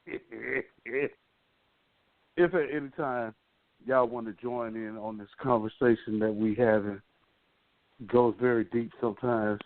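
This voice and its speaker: A man's voice: mid-range voice, steady tone, takes it slowly, long pauses, no echo. A man hosting a casual call-in radio talk show over the phone, speaking in an informal, slangy way. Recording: dry, narrow phone line.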